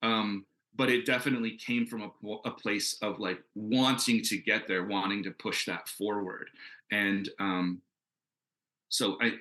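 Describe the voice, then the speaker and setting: A man's voice: on the low side, fairly even intonation, a little slow, pushed and bright. A man speaking as a comics creator on a panel, casual and earnest, talking in an enthusiastic, rambling way.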